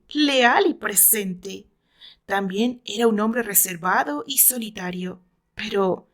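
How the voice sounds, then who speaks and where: A woman with a Mexican accent reading a short story aloud as expressive, dramatic scripted narration. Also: mid-range voice.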